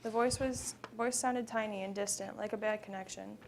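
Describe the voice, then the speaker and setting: A woman reading prose narrative aloud at a live event, in a measured, dramatic way with phrases that trail off. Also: mid-range voice, fairly even intonation. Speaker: student performer in readers theater. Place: live college library event. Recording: live event recording with background noise.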